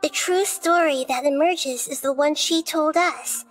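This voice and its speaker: Game role: wise, composed little goddess, soft girlish high voice, measured storybook delivery, music underneath. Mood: calm, thoughtful. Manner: measured, thoughtful, articulate. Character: small childlike female deity, gentle, wise, composed. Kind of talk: video game dialogue and fairy-tale narration.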